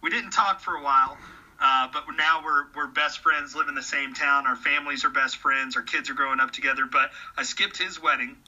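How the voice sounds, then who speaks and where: A man in his thirties talks with real conviction in an easygoing chat. His voice is strikingly bright and forceful, and he often repeats words as he gets a sentence going. The recording sounds slightly dull and lo-fi. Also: on the high side, fairly even intonation, a little fast. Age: 30s. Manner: earnest, repeats words when starting sentences. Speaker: podcast guest. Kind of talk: casual conversation.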